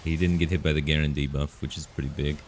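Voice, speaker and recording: A man streaming a game live, talking in a relaxed, rambling way with lots of fillers, his voice bassy on a studio microphone at his home setup. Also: fast, soft background music. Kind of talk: casual live-stream commentary. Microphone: studio microphone through an audio interface.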